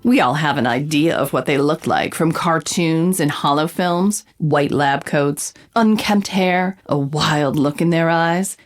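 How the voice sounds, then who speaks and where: Confident, lower-voiced woman investigative podcast reporter. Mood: wry, storytelling. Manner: theatrical, knowing delivery with teasing pauses. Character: confident female investigative reporter hosting a true-crime podcast. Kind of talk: scripted dramatic podcast narration and interviewing.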